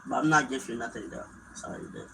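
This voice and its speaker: A man's voice: medium pitch, fast talker, creaky, sharp tone, a little hiss or hum, low-quality recording. Crude mocking young male gamer streamer. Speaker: gaming streamer. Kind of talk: casual live-stream trash talk.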